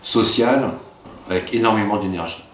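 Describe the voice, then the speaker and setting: Deep-voiced middle-aged man, hesitant and reflective. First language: Russian. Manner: hesitant, many pauses and fillers. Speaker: teacher being interviewed. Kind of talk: reflective personal recollection. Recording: roomy, distant mic with faint ambience.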